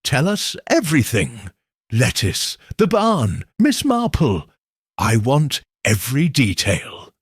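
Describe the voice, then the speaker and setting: Man putting on a lively, teasing young wife, eager, affectionate, raised and bright, husky edge, storybook reading. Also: medium pitch, slow pace, no echo, clean studio sound, full character voice.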